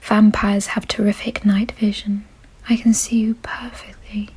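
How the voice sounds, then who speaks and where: A woman playing a kind, doting aristocratic vampire in an ASMR roleplay, in a soft, breathy whisper with a gentle, genteel, reassuring manner. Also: mid-range voice, little pitch movement, a little slow, low-quality recording. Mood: coaxing, soothing.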